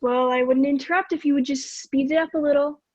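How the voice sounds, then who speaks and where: A woman's voice: high voice, fairly even intonation, fairly quick, darkish tone, lightly acted. A young woman in an amateur stage play, acting a blunt, impatient teenage runaway with a dry, unimpressed manner. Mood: impatient, sarcastic. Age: young adult. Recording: dull lo-fi sound.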